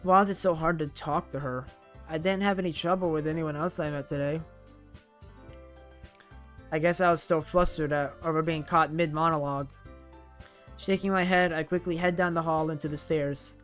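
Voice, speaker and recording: A man's voice: fairly high pitch, steady tone, moderate pace, long pauses, a bit bright, soft background music. A man playing a visual novel, reading the game's text aloud and breaking off for chatty asides, often correcting himself mid-sentence. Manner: chatty, self-correcting reader, laughs at himself. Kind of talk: let's play, reading game text aloud with casual commentary.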